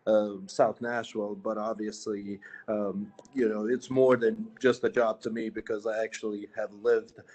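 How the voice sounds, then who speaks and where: A man from Bosnia, a police sergeant, introducing himself at a virtual community meeting with many halting fillers. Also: fairly low pitch, flat delivery, darkish tone.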